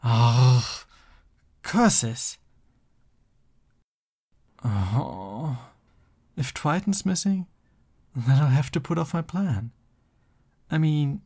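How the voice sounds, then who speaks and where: A man acts out a boastful young male supervillain who is also a bashful, loving boyfriend in an ASMR roleplay. His mid-pitched voice has a gravelly creak and takes its time, moving between grand theatrics and flustered affection. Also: dark tone. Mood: frustrated, pondering.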